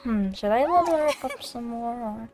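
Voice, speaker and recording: A woman's voice: fairly high pitch, animated, moderate pace, a little mellow, faint music behind. A woman chatting casually and in a giggly, rambling way while streaming a video game. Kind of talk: casual gameplay chat.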